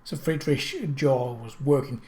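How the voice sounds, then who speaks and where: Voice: medium pitch, unhurried, mellow. A narrator reading a period mystery novel aloud as a scripted audiobook. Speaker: audiobook reader.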